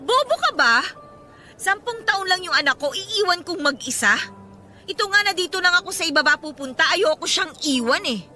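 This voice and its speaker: A woman's voice: very high-pitched, animated, normal speed, sharp tone, faint music behind, heavily acted. An actress playing an indignant, sarcastic mother who feels bitterly wronged, in an emotional radio drama style. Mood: irritated, dismissive. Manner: biting, sarcastic retorts.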